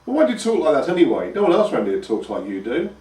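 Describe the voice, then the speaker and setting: A man's voice: fairly high pitch, huge pitch swings, fast, dark tone, pitched above the performer's own voice. A man doing a dry, put-upon teenage peasant lad, echoey room, heard from a distance. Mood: weary. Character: mild, long-suffering teenage peasant boy.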